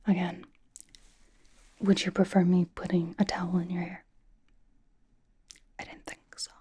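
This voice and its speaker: A woman voicing a blunt but cozy young female pop star in a breathy, casual, halting ASMR whisper, doting on the listener. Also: fairly low pitch, flat delivery, warm tone, a little hiss or hum, close to an everyday voice. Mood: matter-of-fact, bossy. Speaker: ASMR roleplay performer. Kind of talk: whispered ASMR personal-attention roleplay.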